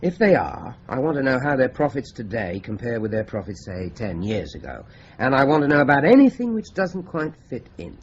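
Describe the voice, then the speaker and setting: A dry, understated police inspector. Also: male voice, medium pitch, big pitch swings, fairly quick, a little hiss or hum. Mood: businesslike. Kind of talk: radio drama acting. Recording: slightly dull old radio recording.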